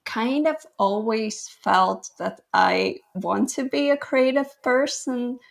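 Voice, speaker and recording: Slow-talking young woman with gravelly fry. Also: fairly high pitch. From Latvia. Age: 20s. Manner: modest, laughs often, unhurried storytelling. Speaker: guest photographer. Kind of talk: casual interview chat.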